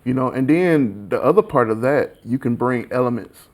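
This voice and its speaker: A man's voice: medium pitch, expressive, moderate pace, a little mellow. A man with a Southern American accent chats casually as an interview guest, in an informal, slangy way full of "like" and "you know.". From small town in Alabama, USA. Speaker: guest, recording engineer and mixer.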